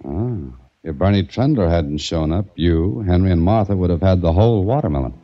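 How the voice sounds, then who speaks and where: An actor playing a wisecracking private investigator who narrates in a 1940s radio drama, with a dry, sardonic manner. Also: male voice, low voice, expressive, brisk. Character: wry, wisecracking adult male private investigator. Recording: muffled old radio broadcast with faint music.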